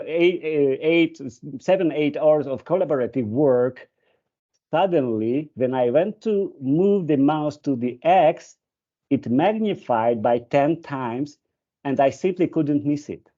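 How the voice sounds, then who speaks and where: A man's voice: on the high side, slow, warm tone. A Hungarian-speaking man giving a webinar presentation, hesitant, with many fillers and restarts. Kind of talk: presentation with an anecdote. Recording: muffled video call audio.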